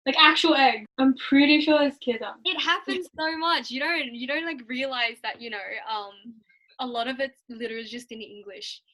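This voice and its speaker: A young woman, a Mandarin speaker, chatting as a guest and telling animated stories with frequent laughter. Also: sits high, moderate pace, low-quality recording. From Australia. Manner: animated storytelling, laughs often. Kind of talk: casual chat.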